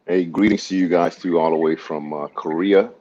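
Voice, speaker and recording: A man from Honduras with a very deep voice talks upbeat and often tags his points with "right?". The audio is dull and lo-fi. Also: fairly even intonation, moderate pace, warm tone. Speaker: guest, military medical officer. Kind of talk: casual interview answers.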